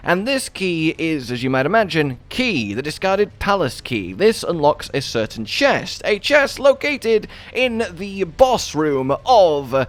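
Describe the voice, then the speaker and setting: A man's voice: on the high side, sing-song, measured pace, hardly any pauses. A man narrating a scripted video game walkthrough as its host, with playfully florid, wordy phrasing.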